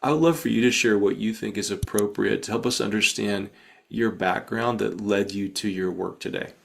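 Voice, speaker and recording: A man hosting a podcast interview, speaking conversationally and deliberately, in short phrases. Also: fairly low pitch, vocal fry, fairly bright tone.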